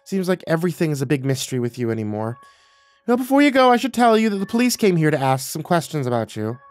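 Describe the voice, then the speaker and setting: Man putting on a nagging, guilt-tripping older mom, pitched up. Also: sing-song, quick pace, faint music behind. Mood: cold, sarcastic. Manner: accusatory, guilt-tripping, pointed. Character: nagging passive-aggressive older mother, pouty and guilt-tripping.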